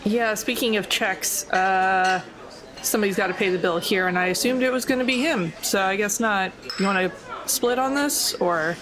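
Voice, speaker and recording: A woman's voice: medium pitch, moderate pace, a crowd in the background, lightly acted. A woman playing a wry, blunt, world-weary female agent in a tabletop game, talking casually and dryly. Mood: dry, impatient.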